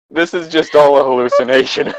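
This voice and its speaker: A man's voice: fairly high pitch, no room sound, rough recording. A man co-hosting a comedic fan fiction reading, moving between narration and sardonic, incredulous casual banter.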